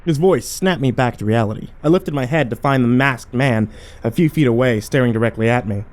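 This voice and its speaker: A man's voice: mid-range voice, fairly quick, close to an everyday voice. A man playing a wary, troubled survivor who narrates a horror audio drama in a colloquial, brooding way. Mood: dazed, subdued. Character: troubled, wary male survivor telling his story.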